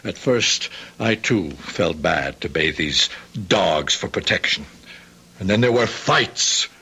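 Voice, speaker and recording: An actor playing a resigned, philosophical neighbourhood shopkeeper in a radio drama, measured and placating. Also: male voice, sits low, animated, sharp tone, slight background noise, full character voice.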